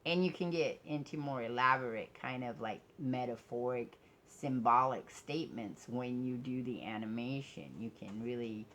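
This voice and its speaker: A woman with a strikingly deep voice chats in a loose, rambling vlog style. She is frank and self-deprecating, often stopping and restarting as she works out her thoughts aloud. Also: slight background noise. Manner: candid, self-deprecating, blunt. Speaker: vlogger. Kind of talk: casual rambling personal vlog.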